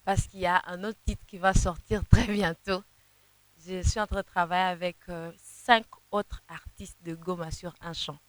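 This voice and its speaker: A young woman, a gospel singer whose first language is Kinande, answering interview questions in a halting way, often repeating words as she searches for them. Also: on the low side, fairly even intonation. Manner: sings softly in places.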